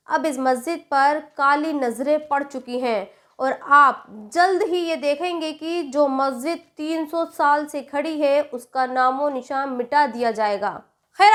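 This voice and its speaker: A woman's voice: sits high, fairly bright tone. A woman presenting scripted political commentary, explaining things directly to the viewer. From India.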